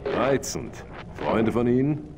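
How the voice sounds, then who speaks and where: A man's voice: mid-range voice, very animated, takes it slowly, background noise. A man playing an authoritative military captain in a video game, curt, dry and commanding. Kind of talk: dubbed video game cutscene acting.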